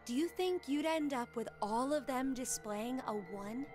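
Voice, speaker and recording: An actress voicing an earnest, cerebral young woman in a video game, deliberate and didactic, pressing with leading questions. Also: sits high, a little mellow. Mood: calm, probing. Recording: music under the voice.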